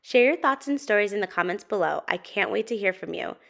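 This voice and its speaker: Animated woman reading to children. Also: mid-range voice, brisk, creaky. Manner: expressive, addressing young children. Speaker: storytime host and narrator. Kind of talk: children's picture book read-aloud.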